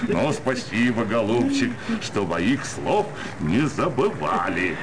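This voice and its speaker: An actor playing a self-satisfied gentleman benefactor in a radio play, pompous, hearty and condescending, over loud ambience. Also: on the low side, sing-song, takes it slowly, gravelly, noisy background, full character voice. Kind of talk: theatrical radio play acting of classic literature.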